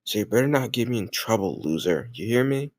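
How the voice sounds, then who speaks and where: Low-voiced, smug teenage bully played by a man. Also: animated, brisk, no room sound, studio quality. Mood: smug, teasing. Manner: teasing, smug, hesitant murmured asides. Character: cocky, popular teenage football captain bully, secretly tender. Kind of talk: intimate scripted audio roleplay addressed to listener.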